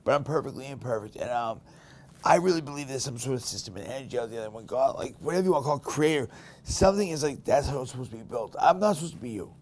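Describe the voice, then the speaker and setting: Boston guy hosting a podcast, talks quick and blunt, average pitch. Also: slight background noise. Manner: blunt, candid, animated storytelling. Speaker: podcast host. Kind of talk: casual podcast conversation.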